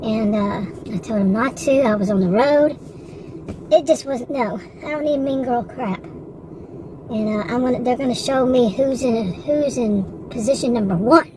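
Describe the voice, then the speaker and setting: A woman's voice: on the high side, measured pace, noisy background. A woman in her sixties in a rambling, frustrated casual monologue, with many restarts and asides. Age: 60s. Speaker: vlogger.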